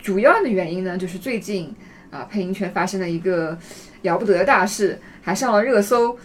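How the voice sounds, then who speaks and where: A woman's voice: medium pitch, brisk, a little hiss or hum. A woman hosting a casual podcast, introducing things in a conversational way. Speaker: podcast host.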